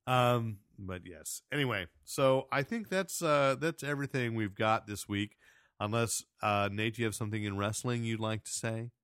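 Loud podcast host guy, rambly, dry close sound. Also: on the low side, normal speed, clean tone, no echo. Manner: rambling, self-correcting, chatty plugs. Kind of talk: casual podcast chat.

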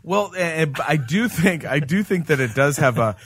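A man hosting a casual review chat, explaining things haltingly and often groping for the right word. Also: a little high, animated, a bit bright, slightly rough. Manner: hesitant, halting explanations that grope for words. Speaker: podcast host reviewing games.

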